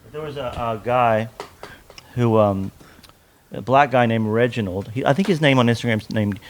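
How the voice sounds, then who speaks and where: A man's voice: medium pitch, normal speed. A man chatting casually as a podcast guest, a country musician who rambles and swears freely. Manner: rambling, profane. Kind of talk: casual interview chat.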